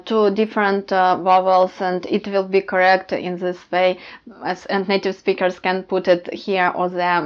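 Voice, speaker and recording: A woman with a Ukrainian accent, a language teacher talking casually to learners and pausing often with "uh" fillers. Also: medium pitch, clear, resonant tone, lo-fi sound. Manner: many "uh" fillers, thoughtful.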